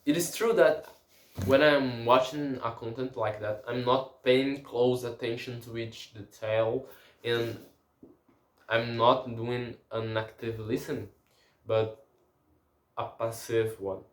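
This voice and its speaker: A man whose first language is Portuguese talks slowly and haltingly, restarting his sentences as he hunts for words. His medium voice rings in a roomy, echoey space. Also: mid-range voice, fairly even intonation. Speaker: language learner. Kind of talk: casual spoken language diary.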